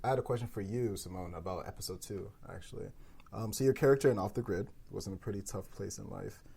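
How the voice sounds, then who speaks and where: A woman's voice: a bass voice, quick pace, vocal fry, dark tone, breathy edge. A woman hosting a casual round table discussion as a podcast host and writer. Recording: faint background noise and room ambience.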